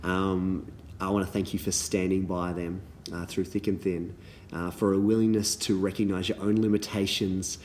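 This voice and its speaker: A man, a little hoarse from losing his voice, giving earnest thank-you messages to youth leaders with many ums and restarts. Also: sits low, level tone, moderate pace, darkish tone, slight background noise.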